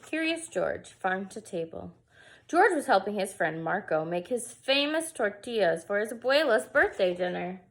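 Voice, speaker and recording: A woman's voice: medium pitch, big pitch swings, moderate pace. A woman reading a picture book aloud to young children, expressively and with casual asides. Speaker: preschool storyteller.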